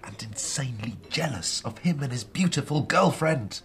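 A man's voice: mid-range voice, animated, moderate pace, a bit bright, close to an everyday voice. An actor playing a wry, self-mocking middle-aged man who teases his friend with a sardonic edge. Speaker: friend at lunch. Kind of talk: scripted radio drama dialogue.

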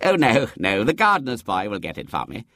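A man's voice: fairly low pitch, huge pitch swings, fairly quick, sharp tone, dry recording, clean studio sound, heavily acted. A man voicing a fussy, stammering, pompous elderly bishop in a storybook reading. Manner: pompous, condescending.

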